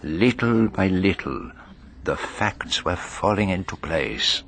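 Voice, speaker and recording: Low-voiced, formal foreign detective. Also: male voice, very animated, a bit bright, full character voice. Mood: pensive. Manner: measured, formal, precise. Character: shrewd, courteous foreign male detective, inwardly brooding. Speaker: detective narrating his own case. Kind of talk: radio drama narration and dialogue.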